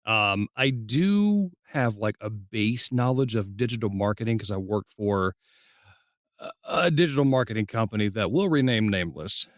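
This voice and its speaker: A man's voice: on the low side, animated, moderate pace, no echo. Casual male podcast host, dry sound. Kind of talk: casual interview chat.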